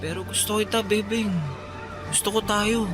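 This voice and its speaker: Radio drama role: man as smitten teenage boy, very high-pitched, sincere, hesitant, clear music bed behind. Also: level tone, moderate pace, lightly acted. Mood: pleading. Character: Ronnie, lovestruck boy working at a travelling fair, earnest. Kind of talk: Tagalog radio drama acting.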